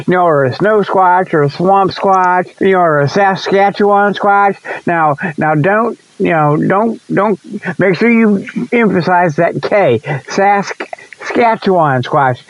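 A man's voice: high voice, muffled recording. A man hosting a comic radio segment in a rambling, folksy, repetitive way, peppered with okay and you know. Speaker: host of a comic report segment. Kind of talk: rambling comedic radio monologue.

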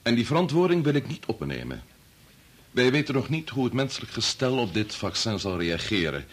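An actor playing a grave middle-aged chief bacteriologist and family man torn by conscience, speaking formally and deliberately in a radio play. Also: mid-range voice, very animated, slightly rough. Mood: grave, explaining. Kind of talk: Dutch radio play acting.

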